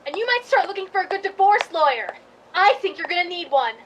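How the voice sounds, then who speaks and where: An actress playing an imperious, short-tempered film star with a haughty, snappish manner in a radio drama. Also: female voice, very high voice, very animated, a little fast, background noise. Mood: angry, scornful. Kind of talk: theatrical radio drama acting.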